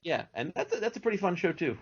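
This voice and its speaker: A man's voice: high-pitched, big pitch swings, fast, husky. A man speaking as a podcast guest, explaining things in a casual, talkative way. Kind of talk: casual fan discussion. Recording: dull lo-fi audio.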